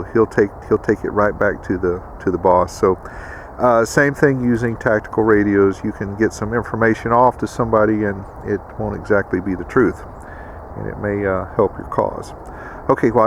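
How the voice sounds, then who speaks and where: A man hosting a casual instructional vlog with a gravelly voice, addressing viewers in a folksy way. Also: on the low side, fairly even intonation, normal speed, slight background noise, low-quality recording. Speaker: video host.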